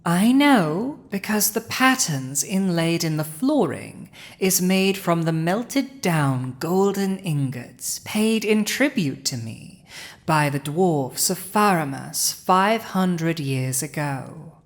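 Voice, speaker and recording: A woman acts out a villainous dragon who has seized a throne. The voice is lowish for a woman and extremely unhurried, lingering over pauses. It is imperious, possessive and teasing, in the manner of an intimate fantasy roleplay audio. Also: expressive. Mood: possessive, proud. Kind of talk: dramatic roleplay audio addressed to the listener.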